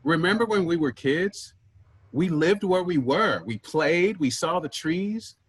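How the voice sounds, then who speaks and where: A man acting in a staged play reading as an earnest, wistful man searching for his vanished sibling, with a husky voice. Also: fairly high pitch, expressive, unhurried. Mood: wistful, reflective.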